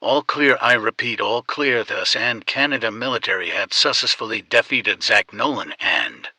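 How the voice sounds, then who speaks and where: A man's voice: on the low side, moderate pace, sharp tone, dry recording. A man reading a scripted emergency broadcast announcement.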